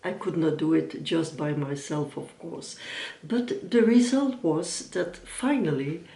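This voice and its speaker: A woman's voice: low-pitched, takes it slowly, vocal fry, mellow. A middle-aged woman from Belgium, whose first language is Dutch, hosting a casual sewing vlog in careful English with frequent ums. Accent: Dutch-speaking Belgian (Flemish). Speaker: YouTube sewing and knitting host. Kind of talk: casual vlog talk to camera. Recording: roomy echo from some distance.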